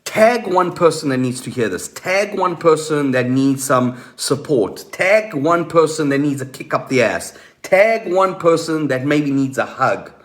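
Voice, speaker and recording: Male motivational coach hosting a live stream, voice on the higher side, emphatic, pausing deliberately and repeating key points. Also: animated. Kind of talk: motivational coaching talk to online viewers.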